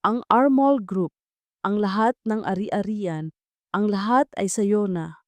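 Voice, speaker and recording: A woman's voice: mid-range voice, takes it slowly, no echo, lightly acted. A Filipino woman voicing a formal attorney in a dramatic story, announcing news with emphasis. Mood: formal, emphatic.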